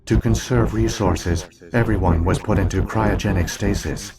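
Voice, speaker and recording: Video game role: ancient alien AI played by a man, low-pitched, solemn, measured, coldly logical. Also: over a noisy crowd, lightly acted. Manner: solemn, measured, formal exposition. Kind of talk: scripted video game dialogue.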